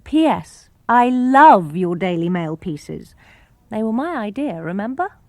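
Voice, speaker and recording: A woman with a British accent reading letters and memoir for radio in a gentle, reflective way. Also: medium pitch, sing-song, unhurried, no room sound, studio quality.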